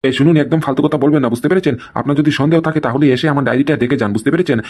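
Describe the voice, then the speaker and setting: Old cartoon father-in-law played by a man, touchy and blustering, emphatic, extremely quick talker. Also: a little high, a little mellow, close to an everyday voice. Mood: irritated, defensive. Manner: emphatic, repeats tag questions. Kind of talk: amateur comedy cartoon dialogue.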